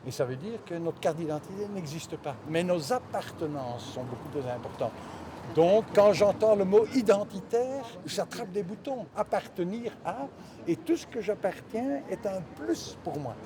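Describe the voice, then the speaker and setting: A man's voice: high-pitched, lively intonation, moderate pace, noisy background. A man from Belgium, a native Dutch speaker, answering casually as an interviewed cartoonist. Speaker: interviewed cartoonist and press illustrator. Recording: busy crowd chatter around the voice. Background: crowd chatter.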